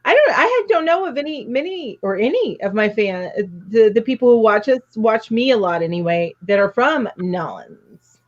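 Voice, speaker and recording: A woman's voice: on the high side, lively intonation, moderate pace, dull audio. A woman co-hosting a live crochet show over a video call, chatting casually, laughing often and greeting viewers by name. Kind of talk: casual chat on a livestream.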